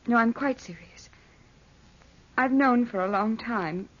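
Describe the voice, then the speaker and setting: An actress playing an earnest, anguished young fiancée in 1940s radio melodrama style, hesitant and tremulous. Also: female voice, on the high side, takes it slowly, fairly bright tone. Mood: sorrowful resolve. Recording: muffled old radio drama recording.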